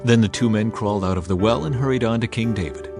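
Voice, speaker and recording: A man reading scripture as a dramatized audiobook narration, measured and emphatic in his storytelling. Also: fairly low pitch. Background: music under the voice.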